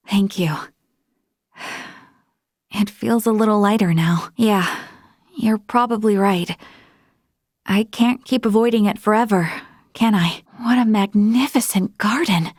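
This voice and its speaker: A woman's voice: mid-range voice, slow, pauses often, a little mellow, no echo, clean studio sound. An actress voicing an earnest, modest young woman, a space officer from a prestigious family, in a video game, speaking politely and sincerely. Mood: wistful, then gentle admiration. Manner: polite, self-effacing, sincere.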